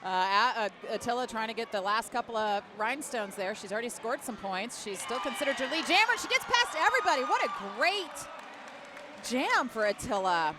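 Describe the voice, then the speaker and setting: A woman with an American accent giving live roller derby play-by-play, excited, emphatic and chatty. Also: a little high, brisk, a crowd in the background. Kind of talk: live play-by-play commentary with casual banter. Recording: noisy venue sound behind commentary. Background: loud venue noise.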